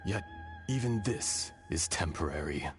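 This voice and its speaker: An actor playing an ancient male dragon spirit, speaking slowly and formally in a game cutscene with music behind. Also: low-pitched, huge pitch swings, exaggerated voice. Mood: solemn. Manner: slow, grave, formal speech.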